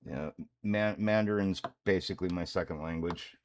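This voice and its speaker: A man's voice: low voice, normal speed, a little hiss or hum. A man talking casually and rambling while he paints, with frequent chuckles. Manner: relaxed, rambling, chuckles often. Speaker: miniature painter and streamer.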